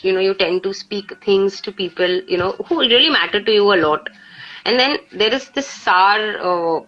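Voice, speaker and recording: A woman with an Indian accent speaking reflectively on a live poetry session, in a halting way peppered with "you know". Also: medium pitch, fairly bright tone. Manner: halting, peppered with 'you know'. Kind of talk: casual reflective chat and poetry recitation. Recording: dull lo-fi live stream audio.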